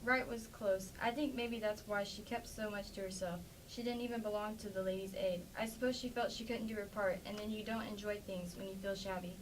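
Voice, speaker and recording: A woman's voice: medium pitch, steady tone, a little fast, few pauses, lightly acted. A woman playing a plainspoken, defensive farmer's wife in an amateur staged play reading. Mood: wistful, sympathetic. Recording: distant mic with faint background noise.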